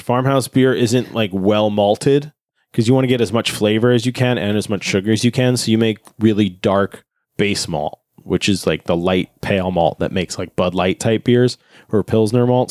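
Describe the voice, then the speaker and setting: A man with a lowish voice talks in a loose, rambling way, full of fillers and offhand swearing. He gets nerdy and goes deep into detail. The sound is dry and close, with no room around it. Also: fairly even intonation, normal speed, a little mellow, dry recording. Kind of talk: casual chat.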